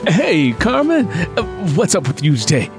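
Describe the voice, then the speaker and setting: A man's voice: high voice, wildly swooping pitch, moderate pace, over music, voice pushed up, exaggerated voice. A man voicing an eager, good-natured handyman in an audiobook, casual and obliging with slightly bashful, hesitant starts. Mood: cheerful.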